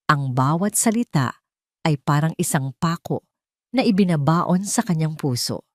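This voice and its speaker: A Filipino woman storyteller with a slightly low voice, narrating an audio drama from a script. The recording is close and dry, and her delivery is dramatic storytelling. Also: animated, unhurried, no room sound.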